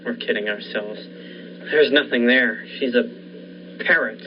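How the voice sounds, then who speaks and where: Man acting a slow-paced, sceptical radio-play doctor. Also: medium pitch, close to an everyday voice. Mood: doubtful, soothing. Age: young adult. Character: sceptical, protective doctor, the scientist's steadying colleague. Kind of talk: 1940s radio thriller acting. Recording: noisy, dull lo-fi recording.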